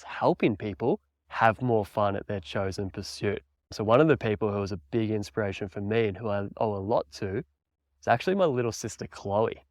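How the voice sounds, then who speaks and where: A man's voice: fairly low pitch, no room sound, clean studio sound. A man in his thirties with an Australian accent, hosting a casual solo podcast in an upbeat, enthusiastic, laid-back way. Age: 30s. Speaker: podcast host and mountain bike coach.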